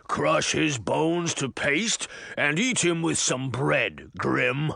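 Huge bellowing, menacing giant. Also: male voice, a little high, very animated, slow pace, gravelly, sharp tone, no room sound, clean studio sound, full character voice. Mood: slow menace. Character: huge gnarled angry man-eating male giant. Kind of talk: audiobook character voice.

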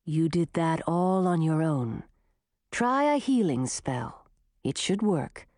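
A cool, aloof female forest spirit, as a woman reads her, calm and measured in every word. The voice sits low and unhurried, with a lively lilt, and the recording is close and dry. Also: dry recording. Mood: calm knowing.

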